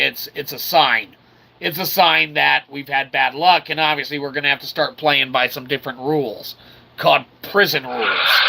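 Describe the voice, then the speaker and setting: A man with a gravelly, creaky voice gives casual video game commentary, mixing wordy mock-formal phrasing with slang and speaking as "we". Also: on the high side, pushed and bright. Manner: refers to himself as "we". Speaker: gaming channel host. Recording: clearly noisy background.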